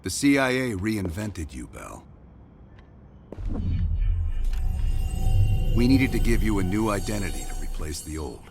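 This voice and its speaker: A cold intense american spy in a game, middle-aged, really deep voice, clipped and grave, music under it. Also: male voice, sing-song, fairly quick. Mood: cold, explanatory. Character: grizzled middle-aged CIA operative, cold, intense, controlling. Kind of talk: cinematic video game acting. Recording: music behind the voice.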